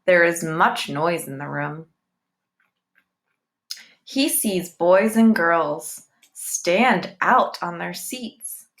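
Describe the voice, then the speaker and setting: A woman reading a children's novel aloud in deliberate, simple phrases, as if telling it to young listeners. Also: fairly low pitch, lively intonation. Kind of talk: read-aloud storytelling with short asides to listeners.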